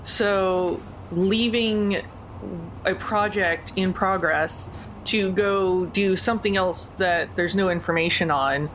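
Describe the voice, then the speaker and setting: A woman's voice: on the low side, fairly bright tone, a little hiss or hum, low-quality recording. A woman speaking casually as a player explaining her character in a tabletop game.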